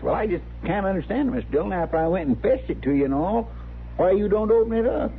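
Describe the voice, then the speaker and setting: An actor playing a fussy, nagging marshal's helper with folksy, rambling speech in a western radio drama. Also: male voice, sits high, lively intonation, quick pace. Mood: fussy, put out. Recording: crackly lo-fi old radio recording.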